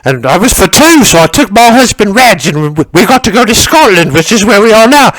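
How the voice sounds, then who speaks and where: A man playing a chatty, crude older working-class woman who rambles through a story and shouts for her husband and dog. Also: high-pitched, very animated, a little fast, pitched below the performer's own voice, heavily acted. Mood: gossipy. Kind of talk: stand-up character monologue.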